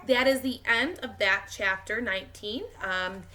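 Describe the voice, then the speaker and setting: Female storyteller, teacher type. Bright tone, expressive and clearly phrased children's book narration. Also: medium pitch, measured pace, a little hiss or hum. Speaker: teacher reading a children's novel aloud. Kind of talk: expressive read-aloud narration.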